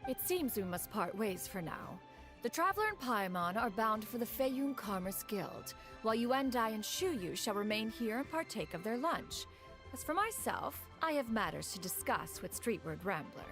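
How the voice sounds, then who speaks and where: A woman's voice: a little high, lively intonation, moderate pace, a bit bright, soft background music. A woman playing a lofty, self-assured immortal sage in a video game, speaking in a formal, haughty manner. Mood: composed. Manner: formal, haughty, calls herself "one". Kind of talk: English video game dub acting.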